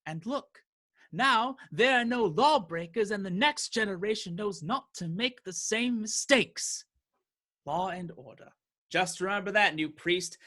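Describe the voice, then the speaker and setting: A man's voice: high-pitched, lively intonation, a little slow, pushed and bright, heavily acted. A man playing a cruel, pompous medieval sheriff in a radio play, preaching law and order with menace. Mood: smug, imperious.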